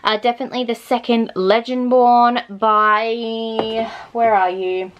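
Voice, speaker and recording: A woman's voice: a little high, steady tone, slow, muffled recording. Enthusiastic woman chatting about favourites. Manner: enthusiastic, gushing. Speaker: book reviewer vlogger. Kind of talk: casual chat to camera.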